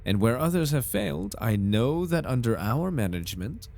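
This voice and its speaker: A man's voice: mid-range voice, sing-song, normal speed, gravelly, a little mellow. A man playing a suave, over-polite corporate recruiter in an ASMR roleplay, with a formal, unctuous salesman's patter. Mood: eager, coaxing. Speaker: voice actor in an audio roleplay. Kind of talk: ASMR roleplay, scripted in-character monologue.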